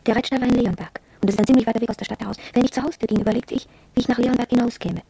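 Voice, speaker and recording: Fast, husky young shop girl. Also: fairly high pitch, fairly even intonation, mellow, dry recording, heavily acted, poor audio. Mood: reflective. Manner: confiding, reflective narration of her own experience. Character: young shop girl, impressionable, wondering, inwardly excited. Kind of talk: radio drama monologue with dialogue.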